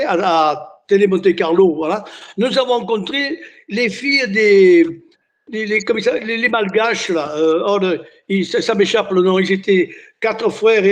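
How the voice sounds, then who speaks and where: An older man born near Naples whose first language is Italian, a singer telling stories in a relaxed interview, with many restarts. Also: high-pitched. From Italy. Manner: chatty storyteller, many restarts and "hein". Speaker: singer and entertainer, guest. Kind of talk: casual interview chat.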